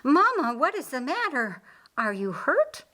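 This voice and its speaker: A woman voicing an excitable, talkative young daughter in a story, with an exclamatory delivery. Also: high-pitched, unhurried, pitched above the performer's own voice, heavily acted. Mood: alarmed.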